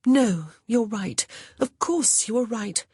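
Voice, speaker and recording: A woman's voice: fairly high pitch, animated, slow, a little mellow, slightly rough, no echo, clean studio sound, pitched above the performer's own voice, heavily acted. A narrator reading a clever young society woman who speaks sweetly and with mock innocence.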